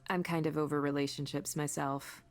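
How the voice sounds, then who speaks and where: An actress playing an earnest, kind and self-assured woman in a visual novel, speaking in a natural, gently encouraging conversational way. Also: low voice, little pitch movement, a little fast. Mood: candid, reflective.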